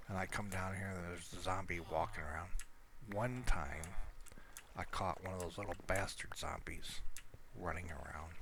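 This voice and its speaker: A man with a low, fairly bright-edged voice talks through his own game in an offhand, unscripted way. He rambles and halts as he thinks aloud, over noticeable background noise. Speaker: gamer narrating own gameplay. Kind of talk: casual, unscripted gameplay commentary.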